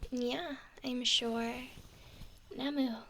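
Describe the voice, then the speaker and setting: A woman playing a curious, affectionate mermaid in an ASMR roleplay, speaking gently and playfully, often in a whisper. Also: on the high side, steady tone, very slow, a little hiss or hum, heavily acted. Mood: caring. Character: curious, affectionate young female mermaid named Valerie.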